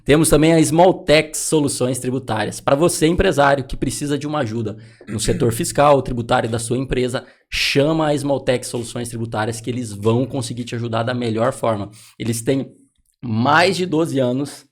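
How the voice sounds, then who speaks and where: A man's voice: medium pitch, moderate pace. A Brazilian man co-hosting a podcast, casually reading sponsor plugs and putting questions to a guest. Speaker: podcast co-host.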